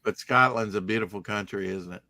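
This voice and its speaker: Bright-voiced stream host, low and dry. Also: male voice, brisk, dry recording. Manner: enthusiastic, lots of short agreeing yeahs. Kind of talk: casual interview chat.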